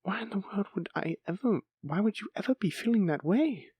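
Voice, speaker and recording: A man's voice: sits high, lively intonation, a little fast, husky, no echo, dull audio. Flustered, tender man acting as a bashful dragon boyfriend. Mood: concerned. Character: bashful, doting male dragon lover, bookish and flustered. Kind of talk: romantic fantasy audio roleplay, addressing the listener.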